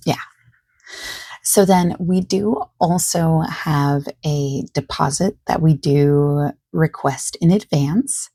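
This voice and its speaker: A woman speaks softly and close in a very dry recording, her husky voice low and mellow. She plays a friendly salesperson in an ASMR roleplay, gently over-explaining in an unhurried, reassuring way. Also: no echo. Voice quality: soft, husky, creaky. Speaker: ASMR creator playing a friendly phone salesperson. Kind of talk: soft-spoken ASMR roleplay, improvised one-sided phone sales call.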